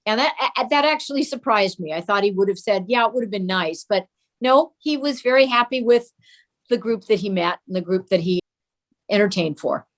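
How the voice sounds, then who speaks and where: A woman's voice: medium pitch, normal speed. A mature woman speaking as an interview guest, telling fond family recollections in a casual storytelling style.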